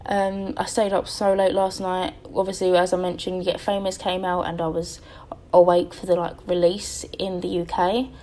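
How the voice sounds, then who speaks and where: A woman's voice: fairly low pitch, little pitch movement, normal speed, mellow. A young woman giving a rambling, casual voice-over about her building project. She sounds tired and her voice is a little crackly, and she often corrects herself and fills gaps with "um". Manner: rambling, chatty, self-correcting with many ums. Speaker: game building YouTuber.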